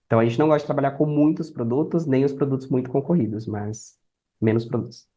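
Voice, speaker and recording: A young Brazilian man with a mellow, relaxed tone and a medium-pitched voice. He talks as a podcast guest, explaining his work through personal anecdotes in everyday conversational speech. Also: quick pace. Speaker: podcast guest, affiliate marketer. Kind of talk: conversational interview answers.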